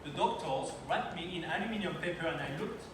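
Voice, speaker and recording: A man's voice: a little high, brisk. A man in his thirties from France, speaking English as a second language and telling personal stories in a timed slide talk at a launch party. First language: French. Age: 30s. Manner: storytelling, personal anecdotes, non-native phrasing. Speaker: conference speaker. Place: event hall at a launch party. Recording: very echoey hall, distant mic, noisy.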